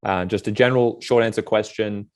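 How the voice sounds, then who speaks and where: Lowish-voiced male interviewer. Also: fairly even intonation, a little fast, darkish tone. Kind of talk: casual interview questioning.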